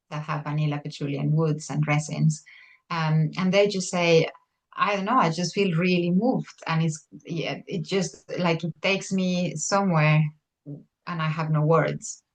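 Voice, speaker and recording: Low-voiced reflective woman. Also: low-quality recording. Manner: reflective, many fillers and restarts. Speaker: perfumer and psychotherapist. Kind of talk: conversational interview answers.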